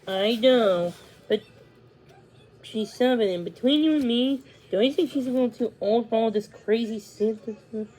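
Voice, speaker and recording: A woman's voice: on the high side, moderate pace, mellow, lightly acted, rough recording. Weary, distracted storybook dad done by a woman. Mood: dismissive. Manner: mumbling, hesitant, weary. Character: sleepy, overworked, dismissive father of a young girl.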